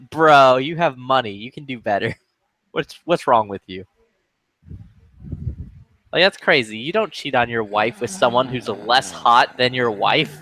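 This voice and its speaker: A man's voice: medium pitch, measured pace, pauses often, dry recording. Crude, joking young man on a headset. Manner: loud, joking, swearing, laughs often. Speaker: lobby chat participant. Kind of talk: crude casual banter.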